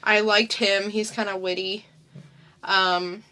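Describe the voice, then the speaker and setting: A young woman co-hosting a podcast in casual chat, unhurried and often giving short agreeing replies. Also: medium pitch, flat delivery, sharp tone, clean tone. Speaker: podcast co-host reviewing comics.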